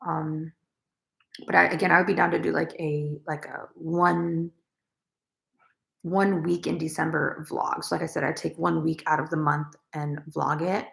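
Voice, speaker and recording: A young woman with a naturally raspy voice, chatting casually with viewers on a crochet livestream and rambling with lots of fillers. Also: low voice, flat delivery, unhurried, a bit bright. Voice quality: raspy, gravelly. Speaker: crochet vlogger. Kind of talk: casual livestream chat, reading comments.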